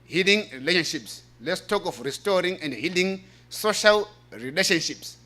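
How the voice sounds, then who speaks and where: A man with an African accent speaks in a high, forceful voice. He presents like a preacher at a conference, earnest and emphatic. He tends to repeat his key phrases to drive them home. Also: animated, normal speed. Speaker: presenter reading a paper at a church health forum.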